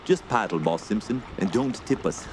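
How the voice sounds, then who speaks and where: An actor playing a hearty, proud French-Canadian backwoods guide in a radio play, with folksy, colloquial speech. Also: male voice, fairly low pitch, expressive, normal speed, close to an everyday voice. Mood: jovial. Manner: colloquial, folksy, ends remarks with "eh". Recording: clear background noise under voice.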